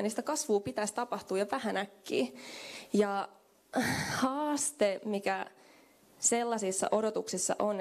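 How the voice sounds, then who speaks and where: A woman's voice: medium pitch, creaky, a little mellow. A young woman giving a conference keynote into a handheld microphone in a meeting room, talking in a conversational way with fillers. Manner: conversational, thinks aloud with fillers. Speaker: keynote speaker. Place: hotel conference room with small audience.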